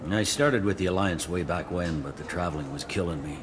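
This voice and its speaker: A man acts out a pragmatic human police captain in a sci-fi game. His voice is low and his delivery is wry, blunt and matter-of-fact. Clear ambient noise sits behind him. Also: a little fast, lightly acted. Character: gruff, pragmatic human male police captain on a space station. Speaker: security captain character. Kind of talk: video game dialogue.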